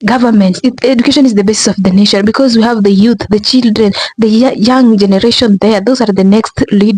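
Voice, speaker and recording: A woman's voice: medium pitch, a little mellow, breathy edge, no echo, poor audio. Young Kenyan woman vlogger, chatty and rambling, close dry mic. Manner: rambling, many restarts and filler words. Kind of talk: casual commentary to viewers.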